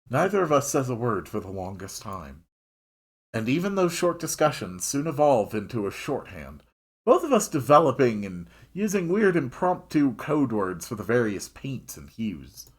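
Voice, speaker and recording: A man's voice: medium pitch, very animated, moderate pace, slightly rough. A man reading a story aloud expressively, dropping in wry, casual asides of his own. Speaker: host reading a visual novel aloud.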